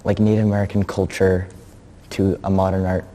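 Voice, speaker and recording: A teenage boy interviews an artist in a gallery, speaking in a somewhat low voice. He asks his questions hesitantly, stacking up "um" and "like" as he goes. A long reverb hangs in the room around him. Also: flat delivery, a little fast, mellow. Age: teens. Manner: hesitant, many "um" and "like" fillers. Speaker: teen interviewer.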